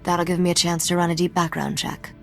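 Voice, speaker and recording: Sardonic young smuggler queen-to-be, lower female voice, dry and sure of herself, video game, faint music. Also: level tone, fairly quick, gravelly, a bit bright. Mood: wry, thoughtful. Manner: dry, wry, self-assured. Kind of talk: video game dialogue acting.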